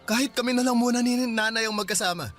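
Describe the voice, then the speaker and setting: A man's voice: very high-pitched, fast, fairly bright tone, soft background music. A male actor with a Filipino accent playing a patient, awkward older brother in a radio drama, coaxing and hesitant. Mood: placating. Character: conciliatory older brother back from years abroad, patient, awkward.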